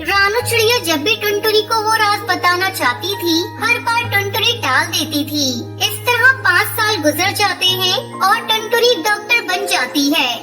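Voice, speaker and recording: High-voiced woman narrating a children's story. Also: expressive, normal speed, hardly any pauses. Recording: loud music under narration.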